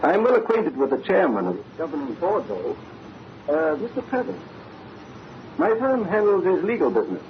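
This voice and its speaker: An actor playing a courtly, enigmatic older father, speaking in a measured, formal way in an old-time radio drama. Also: on the high side, sing-song. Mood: calm, confiding. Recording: muffled, noisy old radio recording.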